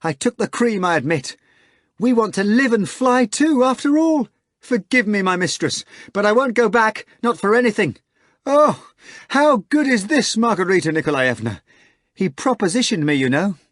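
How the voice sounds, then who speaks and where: A man's voice: sits high, very animated, normal speed, a bit bright, no room sound, clean studio sound, voice pushed up. A man voicing a brash young housemaid who shrieks, mocks and gloats with glee. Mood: excited. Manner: shrieking, gleeful, mocking.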